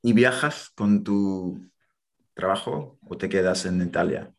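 Very low, gravelly-voiced interviewer from London. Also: takes it slowly. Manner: short prompting questions and backchannels. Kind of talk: casual interview questions.

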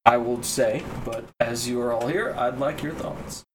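A man's voice: mid-range voice, moderate pace, lightly acted. A man voicing a male town council leader in a Southern US accent, speaking formally and diplomatically with hesitant fillers. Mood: grave, courteous. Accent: Southern US, put on. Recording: distant mic, noisy room.

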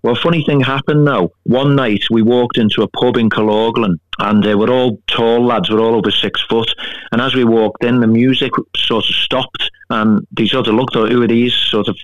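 A man's voice: medium pitch, steady tone, moderate pace, no room sound, highs cut off. A middle-aged man from Liverpool with a Scouse accent, telling stories as a guest in a radio interview, full of fillers. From Liverpool, England. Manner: chatty anecdotes, many fillers like "sort of". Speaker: guest, writer and performer.

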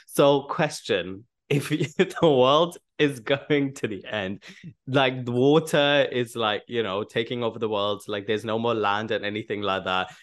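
A man's voice: medium pitch, measured pace. A man hosting a podcast, talking informally with a bantering, playful manner. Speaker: podcast host. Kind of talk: casual podcast intro and playful chat.

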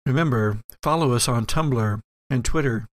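A man's voice: mid-range voice, moderate pace, dry recording. A man speaking as host and game master of an actual-play podcast. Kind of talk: reading and casual chat on an actual-play podcast.

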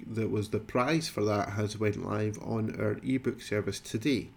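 A man's voice: medium pitch, vocal fry, a little mellow. A man hosting a library podcast, introducing readings in a friendly, slightly rambling way.

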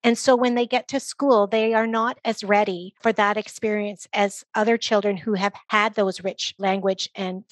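A woman speaking as a guest expert, giving measured, articulate explanations in an interview with emphasis on key phrases. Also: mid-range voice, fairly even intonation, no echo. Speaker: guest expert, early literacy organization leader.

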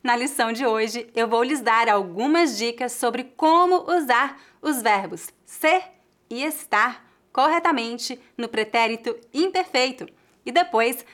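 A woman with a Brazilian Portuguese accent, teaching a scripted online language lesson in a clear, careful way and drilling slow examples. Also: high voice, a bit bright.

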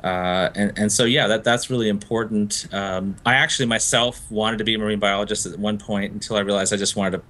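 A man from Florida talks through his ideas as a novelist guest in an interview. His voice is low-set but bright and forceful, and he talks in long, discursive runs with easy laughs. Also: fairly even intonation, a little fast, few pauses. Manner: thoughtful and discursive, laughs easily. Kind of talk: interview conversation.

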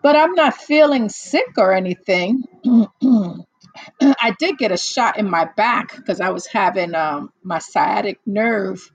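A middle-aged woman chatting slowly with viewers, her voice gravelly and a bit hoarse. She rambles warmly through fillers and little laughs. The sound is close and dry, with no room around it. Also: mid-range voice, animated, dry recording, dull audio. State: losing her voice, slightly hoarse. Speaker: livestream host. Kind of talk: casual chat with live viewers.

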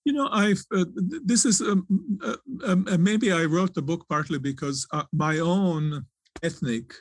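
Gravelly, thoughtful man. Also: sits high, no room sound. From Croatia. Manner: thoughtful, halting, with frequent restarts. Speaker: author and theologian, interview guest. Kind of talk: reflective interview answers.

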